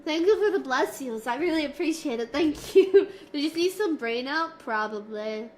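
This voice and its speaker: A woman's voice: high-pitched, expressive, normal speed. A woman streamer with a nasal, stuffy voice from a cold, chatting playfully with her viewers. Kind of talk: casual livestream chat with viewers. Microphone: desk mic, moving closer and farther.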